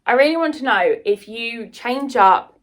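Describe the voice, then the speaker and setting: A woman's voice: on the high side, animated, unhurried. A British woman chatting casually and enthusiastically to viewers in a rambling book vlog. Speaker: book vlogger and writer.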